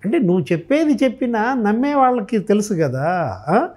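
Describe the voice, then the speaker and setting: A man's voice: sits high, sing-song, moderate pace, a little mellow. A man giving impassioned political commentary, emphatic and full of rhetorical questions. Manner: emphatic, rhetorical questioning, re-enacting others' remarks.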